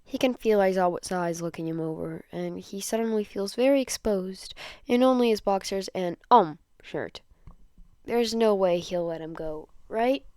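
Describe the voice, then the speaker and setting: A woman narrating a story in an expressive amateur reading style, now and then stumbling and repeating a word. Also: mid-range voice, normal speed, clean tone, no echo, studio quality. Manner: stumbles over words and rereads them. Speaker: podfic narrator.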